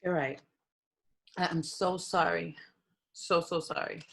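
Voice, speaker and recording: An actress at a table read playing a proud, guarded lawyer with a curt manner. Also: female voice, lowish voice, steady tone, slow, gravelly. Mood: apologetic.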